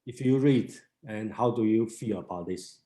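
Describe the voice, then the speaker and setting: A man leading a reading discussion group, halting and searching for words as he talks. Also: lowish voice, dark tone. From China. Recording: roomy echo.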